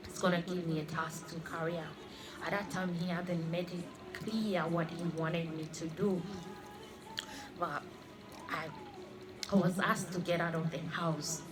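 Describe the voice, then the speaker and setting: A woman in her thirties, born in Congo and raised in Australia, giving an earnest personal religious testimony and often halting to repeat her phrases. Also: on the low side, steady tone. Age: 30s. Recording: music playing under the voice. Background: clear background music.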